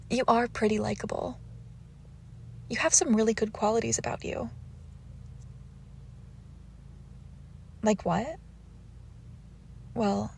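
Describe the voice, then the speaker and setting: Haughty young female vampire, played by a woman, intimate roleplay audio: coy teasing, breathy near-whispers, slow delivery, faint background noise. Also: medium pitch.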